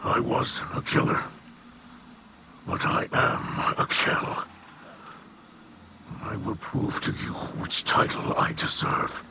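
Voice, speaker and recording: Grave, slow alien warrior leader. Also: male voice, on the low side. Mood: remorseful. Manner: solemn, halting, formal speech. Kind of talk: video game dialogue acting. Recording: noisy background ambience.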